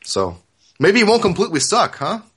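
A man's voice: sits high, huge pitch swings, a little fast, fairly bright tone, slightly rough. A man hosting a casual fan podcast, talking through news in a hedging, wry manner. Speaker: podcast host, fan forum operator.

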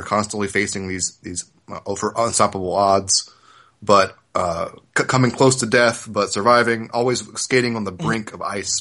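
A man's voice: on the low side, bright, forceful tone. A man hosting a podcast in a casual, informal discussion style. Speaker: podcast host.

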